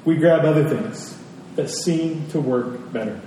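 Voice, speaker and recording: A man's voice: a little high, unhurried, warm tone. Man preaching in a very echoey hall. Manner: emphatic repetition of key phrases. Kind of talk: conversational sermon. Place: large reverberant room. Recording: very echoey hall, distant mic, faint noise.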